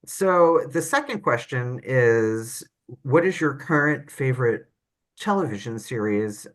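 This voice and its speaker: A woman's voice: a bass voice, animated, a little slow. A woman hosting a podcast in casual chat, with a playful, self-deprecating manner. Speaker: podcast host.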